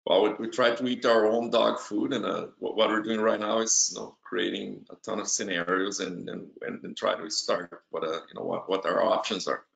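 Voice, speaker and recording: A man's voice: on the low side, steady tone, moderate pace, vocal fry, few pauses, fairly bright tone. A man with a Brazilian accent, a native Portuguese speaker, talking casually as a panelist on a video call. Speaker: technology executive, panelist. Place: home office, on a video call. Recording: roomy, echoey video-call sound.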